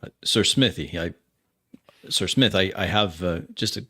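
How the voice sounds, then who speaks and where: A man's voice: low voice, normal speed, sharp tone, breathy edge, exaggerated voice. A man voicing a polite, rational academic investigator in a tabletop game, formal, concerned and hesitant. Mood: earnest, concerned.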